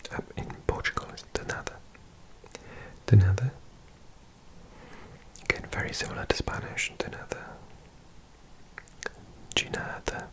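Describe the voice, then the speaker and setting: A person giving an ASMR language lesson in a breathy whisper, softly repeating words in an unhurried way. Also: low-quality recording. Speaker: language teacher.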